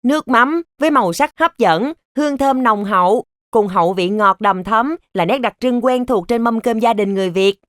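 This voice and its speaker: Woman as polite cartoon child, high voice. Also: moderate pace, few pauses, fairly bright tone, dry recording, studio quality, lightly acted. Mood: pleased. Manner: polite, articulate. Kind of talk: scripted children's cartoon acting.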